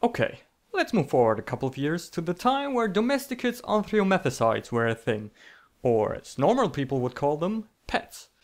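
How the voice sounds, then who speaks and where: A man's voice: a little high, sing-song, moderate pace. Theatrical, sarcastic male storyteller. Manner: theatrical, sarcastic, mock-dramatic. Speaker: storytelling video creator. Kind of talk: comedic scripted storytelling.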